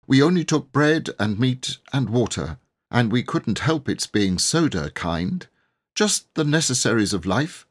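A man's voice: mid-range voice, breathy edge, pitched above the performer's own voice. A man voicing an earnest, anxious but brave young boy making a pleading confession in a storybook reading.

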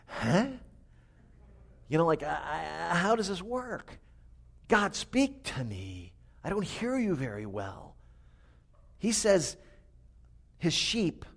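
Loud, resonant preaching man. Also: on the high side. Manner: lively, personal storytelling with humor. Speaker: pastor. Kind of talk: sermon. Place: small chapel. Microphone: microphone.

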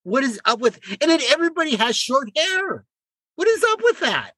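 A man's voice: very high voice, very animated, normal speed, bright, forceful tone, dry recording, clean studio sound. An older man co-hosting a casual podcast chat, stammering and repeating words, often exclaiming. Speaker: podcast co-host.

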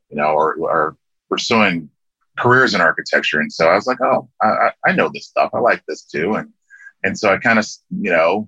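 A middle-aged man from Liberia, a landscape architect talking as an interview guest in a relaxed way, with many ums and restarts. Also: lowish voice, no echo. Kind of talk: casual interview conversation. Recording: dull lo-fi dry sound.

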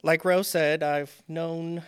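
A man in his thirties from Puerto Rico, a native Spanish speaker, talking as a podcast guest about his design work, thoughtful and pausing with many ums. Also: on the high side, little pitch movement, clear, resonant tone. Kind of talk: casual interview talk.

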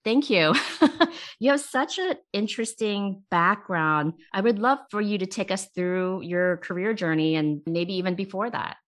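A woman's voice: mid-range voice, unhurried, fairly bright tone. A woman hosting a business interview series, upbeat and friendly, who laughs easily. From immigrant, came at age eight. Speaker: interview host.

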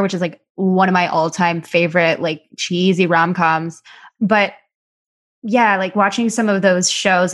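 A woman hosting a podcast in a chatty, casual way, often filling her talk with "like". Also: fairly low pitch. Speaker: podcast host.

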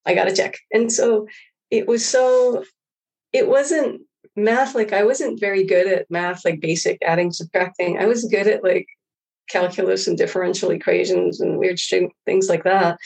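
Female interview guest, middle-aged, husky edge to the voice, loose and reflective conversational storytelling. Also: mid-range voice, steady tone, normal speed, darkish tone, poor audio. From Hawaii. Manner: informal, rambling, quotes own thoughts. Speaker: interview guest, former engineer.

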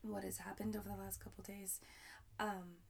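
A young woman hosting her own podcast in a casual, upbeat way, her voice hoarse and raspy, rambling with many fillers. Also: mid-range voice, flat delivery, moderate pace, darkish tone. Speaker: podcast host. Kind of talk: casual solo podcast talk.

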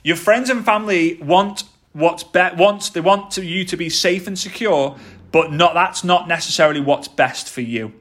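Energetic motivational man in his thirties. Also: high-pitched, normal speed. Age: 30s. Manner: energetic, repeats phrases for emphasis. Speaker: motivational podcast host. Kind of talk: motivational talk.

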